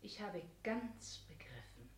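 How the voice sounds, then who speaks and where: An actress playing a proud, wounded, ironic lover in a 1960s German radio play, with a breathy half-whisper and measured, pointed, formal delivery. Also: female voice, on the low side, big pitch swings, a little hiss or hum. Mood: ironic, instructing.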